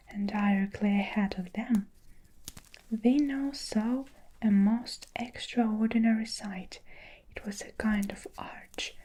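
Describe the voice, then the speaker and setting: A woman reading a storybook aloud in a soft, unvoiced ASMR whisper. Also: medium pitch, poor audio.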